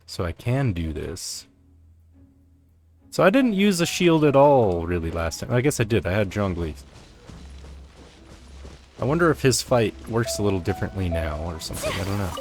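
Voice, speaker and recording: Male streamer, lowish voice, hesitant think-aloud game chat with soft music behind. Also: very animated, moderate pace, pauses often. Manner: low-key, musing aloud, hesitant. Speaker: gaming streamer. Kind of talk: casual game commentary. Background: faint game music.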